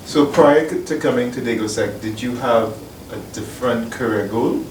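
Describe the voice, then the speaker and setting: Male interviewer in a roomy office. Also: mid-range voice. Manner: plain, measured questioning. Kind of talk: formal interview questions. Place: newspaper office. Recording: echoey, distant mic, clear noise.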